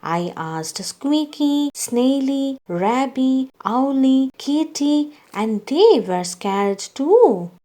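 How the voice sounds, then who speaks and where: A woman reading a children's story aloud, acting out its dialogue in a deliberate way meant for young listeners. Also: high-pitched, sing-song, mellow.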